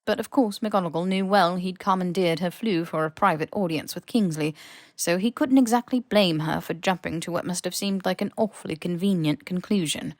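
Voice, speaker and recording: A woman reading fiction aloud as an audiobook narrator, in an expressive scripted storytelling style. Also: fairly low pitch, moderate pace, dry recording, studio quality. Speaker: podfic narrator.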